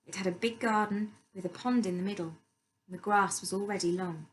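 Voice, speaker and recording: A woman's voice: mid-range voice, fairly even intonation, brisk, low-quality recording. A woman reading a story aloud as scripted narration.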